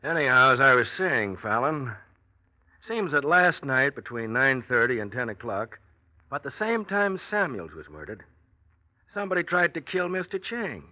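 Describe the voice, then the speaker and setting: A man's voice: mid-range voice, sing-song, normal speed, lightly acted. A man playing a cool, probing police inspector in a 1940s radio drama, dry and insinuating with a suspect. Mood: probing, sly. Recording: dull, muffled old radio recording.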